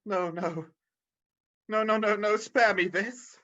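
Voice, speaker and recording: An actor playing a defensive, anguished old male miser in a radio-style drama read over a video call, halting and pleading. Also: mid-range voice, fairly even intonation, fairly bright tone. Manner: halting, pleading, swings in emphasis. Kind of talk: rehearsed radio-script drama reading. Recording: dull lo-fi video call audio.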